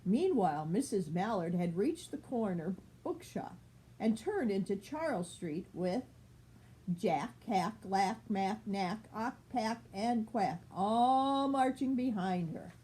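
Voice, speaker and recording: An older woman, a grandmother, reading a children's picture book aloud with expressive, deliberate phrasing. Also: mid-range voice.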